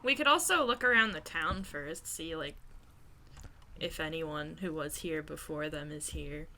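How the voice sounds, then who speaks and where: Female tabletop player, bright forward voice, casual game chatter. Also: sits low, measured pace, slight background noise.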